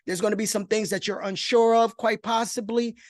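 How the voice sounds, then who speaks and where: High-voiced middle-aged New York man hosting. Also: steady tone, brisk, no echo, clean studio sound. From New York, United States. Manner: emphatic, repeats phrases, checks in with listeners. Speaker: host of an online investing masterclass. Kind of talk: motivational webinar presentation. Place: online video call.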